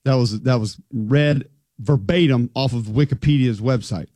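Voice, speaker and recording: A man co-hosting a relaxed sports talk show, wry and joking as he reads out facts and figures. His voice sits at an ordinary pitch, recorded close and dry with no room sound. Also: normal speed, dry recording.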